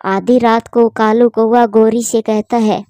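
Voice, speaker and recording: A woman narrating a children's cartoon story with steady, plain delivery. Her voice is fairly high and mellow, recorded dry and close. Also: unhurried, no echo.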